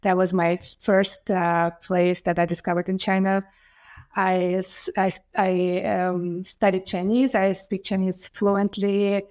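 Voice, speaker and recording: A woman's voice: medium pitch, little pitch movement, measured pace, a little mellow. Upbeat Ukrainian-accented woman guest. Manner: enthusiastic, many fillers and restarts, laughs often. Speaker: interview guest, entrepreneur. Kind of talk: casual interview chat.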